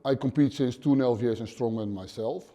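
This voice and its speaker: A man's voice: medium pitch, warm tone. Blunt Dutch man in his thirties. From Netherlands. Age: 30s. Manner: plain, blunt, swears casually, short halting phrases. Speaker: interview guest, gym owner. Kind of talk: casual interview answers. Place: dim gym indoors.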